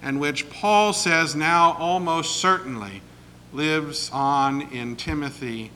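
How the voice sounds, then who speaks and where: A male pastor preaching a sermon in a slow, deliberate way. He is getting over a cold and sounds a little croaky, clearing his throat now and then. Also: on the high side, pushed and bright, a little hiss or hum. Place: church sanctuary. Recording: long reverb of a church sanctuary.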